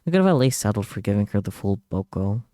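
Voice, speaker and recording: A man's voice: fairly low pitch, sing-song, fast, dark tone, no echo, studio quality. A man reading visual novel text aloud as a streamer, with wry, offhand asides. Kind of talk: reading game text aloud with casual commentary. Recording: game music behind the voice. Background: video game music.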